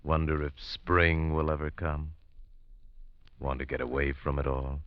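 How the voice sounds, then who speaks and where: A man's voice: a bass voice, little pitch movement, measured pace, dry recording. A male announcer giving a portentous, dramatic introduction to a radio play. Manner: ominous, portentous. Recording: dull 1940s radio broadcast with music.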